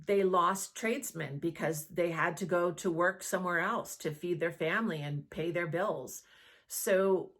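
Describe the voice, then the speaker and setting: A woman real estate agent talking conversationally to camera, explaining as she goes and often checking in with a quick questioning tag. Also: on the low side. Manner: conversational, checks in with rhetorical "right?".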